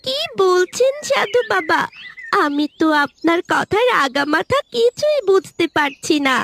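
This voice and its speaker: Cartoon talking tree: childlike, caring, very high and swooping, music underneath. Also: very animated, a little slow, vocal fry, a bit bright, slight background noise, heavily acted. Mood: puzzled, concerned. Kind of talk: cartoon fairy-tale dialogue.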